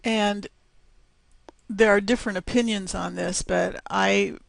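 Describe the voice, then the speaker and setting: A woman talks through a website installation at an unhurried pace, pausing on each step. Her voice is rough and gravelly because she is losing it. The sound is close and dry with no room around it. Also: medium pitch, fairly even intonation, no room sound. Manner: slow, step-by-step explaining with fillers. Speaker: tutorial presenter. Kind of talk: screencast software tutorial.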